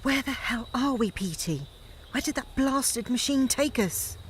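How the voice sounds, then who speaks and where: A brash, irritable space captain acted by a woman in an audio drama. Her voice is high and swings widely, with a slow, blunt, sarcastic delivery. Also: expressive, background noise. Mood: irritated, bewildered. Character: brash, irritable female starship captain, adventuring heroine.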